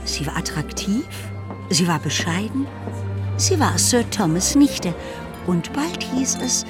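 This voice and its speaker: A woman reads scripted literary narration for a radio drama. Her voice sits at a middle pitch and tells the story expressively, with music clearly playing underneath. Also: very animated, slow pace.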